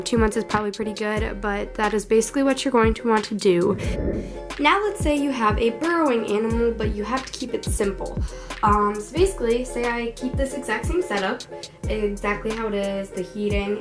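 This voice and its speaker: A young woman with a cold, sounding a little tired and under the weather, chatting casually through a pet care how-to with lots of likes and ums. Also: a little high. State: sick, a little hoarse and tired. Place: bedroom at home. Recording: background music throughout. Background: background music.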